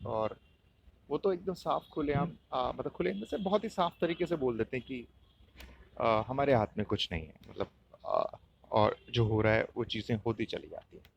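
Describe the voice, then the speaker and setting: Casting: male podcast host, Indian accent, mid-pitched voice, casual solo commentary with a halting, explanatory delivery. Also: expressive, gravelly, dull audio, a little hiss or hum. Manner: hesitant, explanatory, repeats points.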